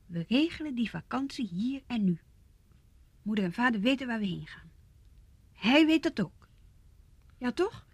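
Husky, insistent young woman. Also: fairly high pitch, very animated, a little slow, pauses often, no echo, exaggerated voice. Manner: insistent, pressing, reproachful. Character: firm, demanding young girlfriend fed up with excuses. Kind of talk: Dutch radio play acting.

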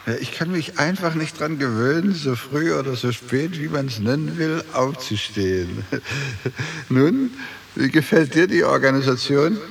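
A glib official in a 1960s radio drama. His mid-pitched voice swings widely, oratorical and condescending under a falsely genial surface. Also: animated, unhurried, gravelly. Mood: jovial, weary. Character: glib, falsely reassuring male camp official making speeches.

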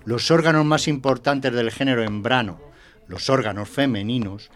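A man's voice: medium pitch, normal speed, natural voice. An actor playing a pompous, easily flustered male schoolteacher who lectures emphatically in an audio drama. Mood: didactic.

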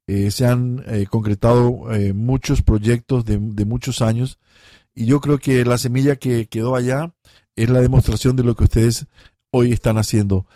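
Mellow male radio host, measured. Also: mid-range voice, steady tone, no room sound, studio quality. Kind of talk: radio show hosting.

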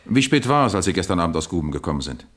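Radio drama police detective, deep voice, pitch moves around a lot, nosy clipped questions. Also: male voice, big pitch swings, racing, a bit bright. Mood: brisk questioning. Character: probing, matter-of-fact male police detective. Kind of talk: German radio crime drama acting.

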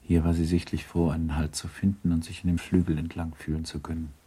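A man narrating a literary radio play in a grave, measured, restrained manner. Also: a bass voice, little pitch movement, warm tone, breathy edge, full character voice. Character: grave, restrained narrator of a 19th-century novel.

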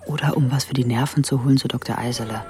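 An actress playing a wry, rebellious teenage daughter, confiding dryly and candidly in a radio drama monologue. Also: female voice, a bass voice, steady tone, fast. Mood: exasperated.

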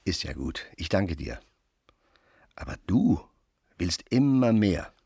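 A gruff, blunt man of middle age for radio drama. The voice is deep and very husky, with swinging intonation. He sounds sardonic and stagey. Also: very animated, takes it slowly, warm tone, no echo, clean studio sound, heavily acted. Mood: mocking. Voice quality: gravelly, raspy. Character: gruff, sardonic man needling his old companion. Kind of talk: German radio drama acting.

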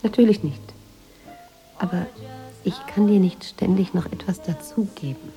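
An actress in a German radio drama playing a wistful, introspective woman writer with a measured, restrained delivery. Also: medium pitch, mellow, faint music behind, close to an everyday voice. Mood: resigned, hurt. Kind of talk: German radio drama, intimate narration and dialogue.

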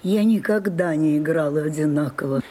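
An older woman playing a proud, weary old French stage actress in a radio drama, declaiming broodingly and dropping into breathy whispers. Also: deep voice, moderate pace, dark tone. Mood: imperious, dreamy. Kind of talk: theatrical radio drama acting.

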